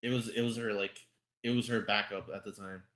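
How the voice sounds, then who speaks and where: A man explaining things in casual conversation, halting and often repeating his phrases as he goes. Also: fairly low pitch, fairly even intonation, a bit bright. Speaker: podcast guest.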